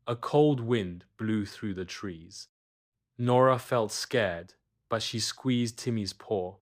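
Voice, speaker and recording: A man's voice: fairly low pitch, slow. A man reading a scripted children's bedtime story as the storyteller.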